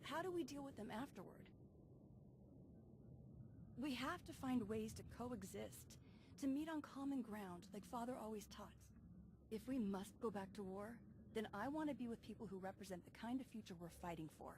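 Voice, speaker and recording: High, animated young heroine, played by a woman. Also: normal speed, lightly acted. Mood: earnest, idealistic. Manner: enthusiastic, earnest. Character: eager, idealistic young female Jedi, wide-eyed and earnest. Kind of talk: video game voice acting. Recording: noisy game audio capture.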